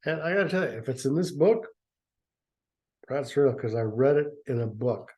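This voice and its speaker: A man's voice: mid-range voice, lively intonation, normal speed, dark tone. A man reading a story aloud and breaking off for sarcastic, rambling asides about it. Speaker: reader and commentator. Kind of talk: sarcastic commentary on a book reading.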